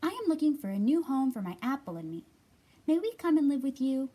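A woman voicing a small female storybook mouse, polite, eager and hopeful, with a squeaky voice. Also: big pitch swings, a little fast, warm tone.

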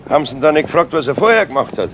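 An actor playing a composed, probing police inspector in a radio crime drama, speaking matter-of-factly. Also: male voice, fairly high pitch, very animated, very fast, fairly bright tone. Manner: matter-of-fact, questioning. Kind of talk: 1970s German radio crime drama acting. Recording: noisy old radio play recording.

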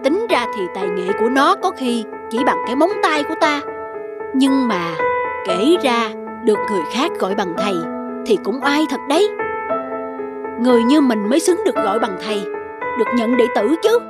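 A conceited, sly cartoon fox, acted by a woman, struts through each phrase with pompous bragging. The voice is high, with clear music behind it. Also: big pitch swings, normal speed. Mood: scornful envy. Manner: boastful, pompous. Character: vain, envious, scheming male fox. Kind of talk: children's cartoon acting.

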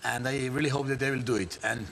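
Jokey Serbian-accented talk show host. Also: male voice, mid-range voice, steady tone, vocal fry, a bit bright, a little hiss or hum, poor audio. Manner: jokey, halting with fillers.

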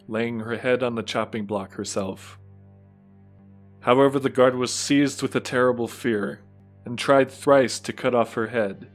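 A man narrating a scripted religious audio drama as storyteller. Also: medium pitch. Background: music.

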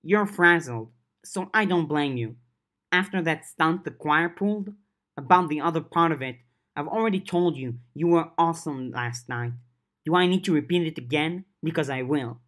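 A narrator reads a practical teenage girl who leads her friends in an audiobook. She is brisk, wary and blunt, speaking firmly. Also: medium pitch, fairly bright tone, lightly acted.

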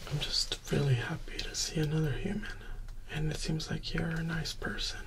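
A young man performs an ASMR role play very close to the mic. He whispers softly with a lot of gravelly fry in his voice. His manner is gentle and reassuring, like a caring survivor looking after an injured stranger. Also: a little high, flat delivery, normal speed. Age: 20s.

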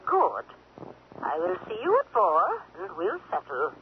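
An actress playing a brisk, firm but polite businesswoman in a radio drama. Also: a little high, wildly swooping pitch, creaky, full character voice. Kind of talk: 1970s radio drama acting. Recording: muffled, noisy old radio recording.

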